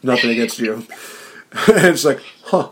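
A man hosting a podcast, chatting casually, excitable and laughing often, with frequent restarts. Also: medium pitch, unhurried, husky. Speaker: podcast host. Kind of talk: casual chat.

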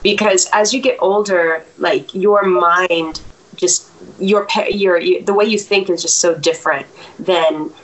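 A woman in her thirties with a fairly low voice speaks as a guest in a relaxed interview. She thinks aloud, pausing on fillers and circling back to restart her sentences. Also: poor audio. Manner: reflective, many fillers and restarts. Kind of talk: casual interview chat.

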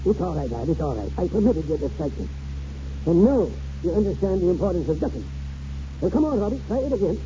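A man's voice: high-pitched, very animated, fast talker. An actor playing a roguish, boastful old Scottish grandfather with a grand, theatrical way of talking, in 1940s radio drama style. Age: older. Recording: muffled, noisy 1940s radio recording.

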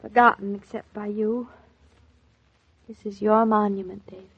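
A woman's voice: medium pitch, little pitch movement, normal speed, husky. A woman acting an anxious, inquisitive, tender young newlywed wife in a 1940s radio drama. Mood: earnest, moved. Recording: dull vintage 1940s radio recording.

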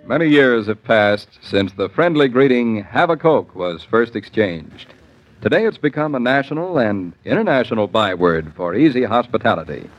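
A man announces in polished 1940s sponsor style. His medium-pitched voice moves with lively inflection. The old radio recording is dull. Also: moderate pace.

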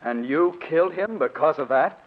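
A man's voice: fairly high pitch, expressive, normal speed, natural voice. An actor playing a cautious, anxious man of science in a 1940s radio drama, speaking earnestly. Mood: alarmed. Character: cautious, worried male doctor of science. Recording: muffled old radio recording.

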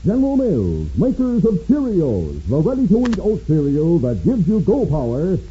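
A man's voice: a little high, huge pitch swings, moderate pace, dark tone, a little hiss or hum. A man announcing and narrating a 1950s radio western in a grand, dramatic style, stretching key words. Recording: tinny vintage 1950s radio recording. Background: music.

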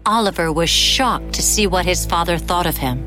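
A woman's voice: fairly low pitch, moderate pace, over music. A woman narrating a scripted children's bedtime story as the storyteller.

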